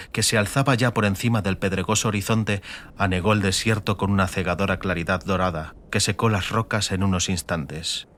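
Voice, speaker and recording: A man reading scripted dramatic audiobook narration in a measured, literary manner. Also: on the low side, a bit bright. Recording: faint ambient noise behind.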